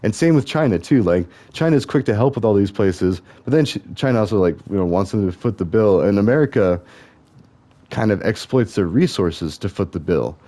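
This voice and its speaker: A young man with a deep voice, musing on tangents while he plays a game. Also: expressive, a little fast, warm tone. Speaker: gaming vlogger. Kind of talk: rambling commentary while playing a game.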